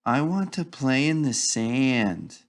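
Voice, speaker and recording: Whiny small child for a picture book, voiced by a man, complaining, drawn-out and slow. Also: medium pitch, big pitch swings, pitched above the performer's own voice. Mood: whining. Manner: complaining, nagging, pleading. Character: whiny young child wanting their own way.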